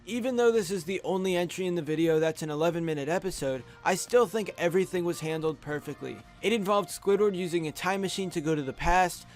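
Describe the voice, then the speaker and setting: A young adult man giving scripted top-five countdown commentary as a video host, speaking into a close microphone. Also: sits high. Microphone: close USB studio microphone.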